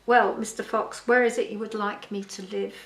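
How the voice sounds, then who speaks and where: A teenage farmhand from a storybook, read by a woman. He speaks civilly and evenly, staying guarded and calm. The voice sits in an echoey room. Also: medium pitch, moderate pace, lightly acted. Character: polite, guarded teenage boy, a new farmhand.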